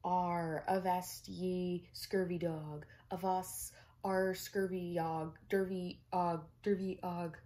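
A young woman voicing an egg-shaped toy pirate that bellows gruff pirate calls. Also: fairly low pitch, flat delivery, takes it slowly, hardly any pauses, dark tone. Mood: bellowing.

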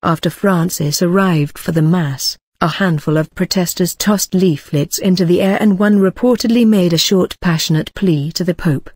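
A voice reading a news article aloud in an even, uniform way. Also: mid-range voice, moderate pace, few pauses, breathy edge, no room sound, clean studio sound. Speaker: news reader.

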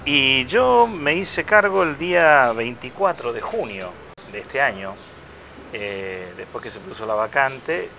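A man in his fifties, a parish priest, speaks reflectively in a bright, forward voice, often pausing and restarting his sentences. He is recorded on a lapel mic at an outdoor restaurant patio. A faint hiss of outdoor ambience sits under his voice. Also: medium pitch, lively intonation. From Chile. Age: 50s. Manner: unhurried, reflective, with many hesitations and restarts. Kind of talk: casual interview conversation.

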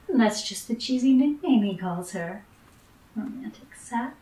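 A woman's voice: a little high, expressive, slow pace, warm tone, distant mic. Woman acting a roomy-sounding sardonic noir dame. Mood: teasing scorn. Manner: blunt, teasing, sarcastic. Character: tough, sardonic woman in noir detective tale. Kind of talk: audio drama acting. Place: indoor room on video call. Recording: roomy, off-mic home webcam sound.

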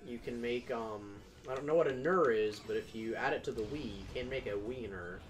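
A man's voice: medium pitch, fairly quick, faint music behind. A young man chatting casually while playing a video game with a friend, joking and laughing often. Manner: joking, giggly, animated. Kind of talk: casual gaming chat.

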